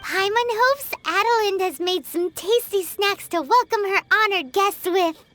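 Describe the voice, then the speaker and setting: A woman's voice: very high-pitched, unhurried, fairly bright tone, soft background music. A woman voicing a tiny, cheeky, childlike fairy companion in an anime-style video game, bubbly and blunt. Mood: curious, cheerful. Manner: childlike, refers to self in third person.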